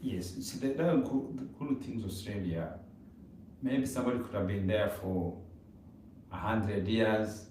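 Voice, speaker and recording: A man's voice: lowish voice, measured pace, warm tone. Man with echoey, distant. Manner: rambling, repeats words, non-native grammar. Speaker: live stream co-host. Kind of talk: casual conversation on a live stream. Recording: echoey room, distant mic, faint noise.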